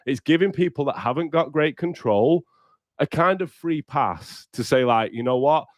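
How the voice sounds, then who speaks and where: A man's voice: fairly high pitch, moderate pace, no echo, clean studio sound. A man hosting a casual livestream podcast while bunged up with a cold. He is blunt, sweary and upbeat, and talks straight to his viewers. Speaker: podcast host.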